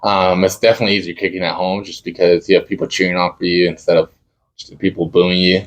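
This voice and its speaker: A man's voice: deep voice, flat delivery, low-quality recording. A young man, a college football kicker from Dallas whose first language is Spanish, answering interview questions casually and searching for words. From Dallas, Texas. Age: young adult. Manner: halting, searching for words with many fillers.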